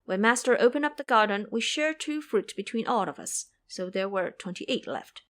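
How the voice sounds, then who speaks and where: Woman playing a jumpy young Taoist boy, high and quick, storybook. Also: animated, natural voice. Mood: agitated.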